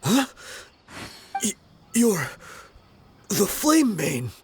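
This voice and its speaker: A man's voice: high-pitched, very animated, very slow, a little hiss or hum, full character voice. An actor playing a menacing, taunting male bandit in a video game fight. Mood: alarmed. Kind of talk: video game combat voice acting. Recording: loud music and crashing behind.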